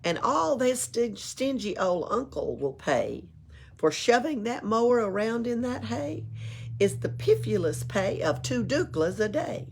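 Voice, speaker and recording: A woman's voice: a little high, measured pace, gravelly, slight background noise. A woman reading a rhyming picture book aloud at a library storytime, playful, with little asides of her own. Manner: expressive, playful, adds asides and chuckles.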